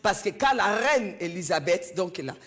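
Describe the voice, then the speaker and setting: A woman's voice: lowish voice, expressive, normal speed, fairly bright tone. A woman from Belgium, preaching a sermon in a church and stressing her points by repeating key phrases.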